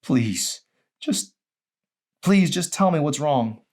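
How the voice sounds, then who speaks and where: A man's voice: a little high, expressive, takes it slowly, darkish tone, pitched above the performer's own voice. A man voicing a frightened but loving teenage grandson in an audiobook, pleading in an urgent, strained way. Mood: desperate, loving.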